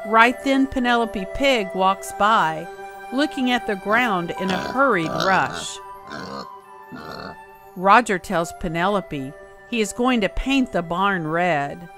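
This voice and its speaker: A woman reading a rhyming storybook aloud for children in an expressive, sing-song way. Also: mid-range voice, takes it slowly. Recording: music playing behind the voice. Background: clear background music.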